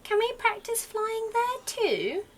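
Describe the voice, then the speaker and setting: A woman puts on a young boy owl from a storybook, pitched very high and full of lively swoops. He sounds childlike, eager and a little timid. Faint noise sits behind the voice. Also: takes it slowly, exaggerated voice. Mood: eager, excited.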